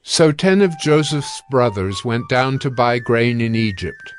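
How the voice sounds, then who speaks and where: Man narrating scripture calmly. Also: medium pitch, unhurried.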